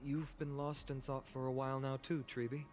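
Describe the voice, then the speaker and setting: An actor playing a kind, earnest young male hero in a game, speaking calmly and reassuringly. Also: medium pitch, brisk, soft background music, close to an everyday voice. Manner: calm, reassuring.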